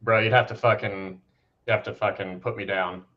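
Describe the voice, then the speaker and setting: A man's voice: fairly low pitch, steady tone, normal speed, lo-fi sound. A hungover man chatting casually over a video call with a headset, profane and rambling. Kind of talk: casual chat.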